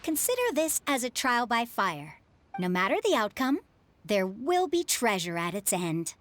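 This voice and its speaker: Wise, high-voiced, encouraging mother. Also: big pitch swings, normal speed. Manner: composed, kindly and encouraging. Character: wise, warm, optimistic widowed mother and adventurer. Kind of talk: video game voice acting.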